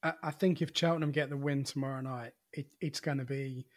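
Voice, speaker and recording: A man co-hosting a football podcast, talking in a relaxed, conversational way with frequent "you know"s and restarts. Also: medium pitch, fairly quick. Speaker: podcast co-host. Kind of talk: casual football podcast chat.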